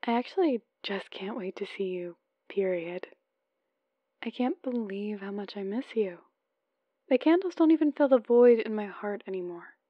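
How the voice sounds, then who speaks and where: A woman's voice: mid-range voice, lively intonation, normal speed, no room sound, lo-fi sound, lightly acted. A woman playing an adorkable, lovesick, playful newlywed wife leaving chatty voicemails in an ASMR roleplay. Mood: longing, teasing. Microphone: close microphone, dry.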